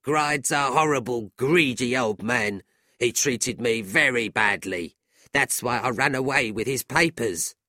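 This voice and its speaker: A man voicing a drunken, spiteful old woman servant with a shrill, cackling voice. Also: a little slow, sharp tone, dry recording, studio quality. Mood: spiteful glee.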